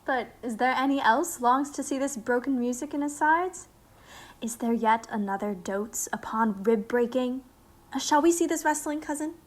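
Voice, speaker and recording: A woman's voice: high-pitched, normal speed. A woman playing a witty, spirited young princess in a Shakespearean radio play, speaking formally and articulately. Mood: curious.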